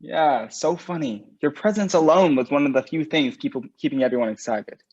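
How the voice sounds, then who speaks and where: A man's voice: a little high, expressive, normal speed. A man reading the part of a sarcastic groom on his wedding day in a staged play reading over a video call. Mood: weary. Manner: sharp, sarcastic, swears often. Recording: muffled video call audio.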